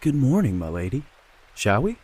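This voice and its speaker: An actor playing a charming young man who courts a maid, with a smooth, gallant and teasing manner. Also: mid-range voice, very animated, normal speed, a little mellow, a little hiss or hum, lightly acted. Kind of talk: amateur audio drama acting.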